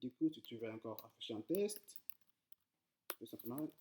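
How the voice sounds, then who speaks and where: A man's voice: mid-range voice, sing-song, normal speed, a little mellow, a little hiss or hum. A man who is not a native French speaker, teaching coding in a casual tutorial, hesitant, with many fillers and repeated words, speaking directly to viewers. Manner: addresses viewers directly, informal.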